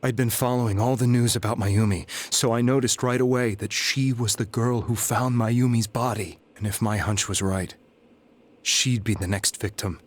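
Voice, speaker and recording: An actor playing a despondent, guilt-ridden young man in a video game, telling his story in a grave, halting way. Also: mid-range voice, steady tone, close to an everyday voice. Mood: troubled, earnest. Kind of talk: dramatic video game voice acting, confessional monologue. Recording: background music under the voice.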